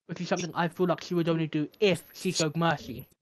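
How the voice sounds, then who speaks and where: A man's voice: fairly high pitch, steady tone, quick pace. A man co-hosting a film podcast, chatting earnestly and hesitantly with fillers. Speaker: podcast co-host. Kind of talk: casual film review chat.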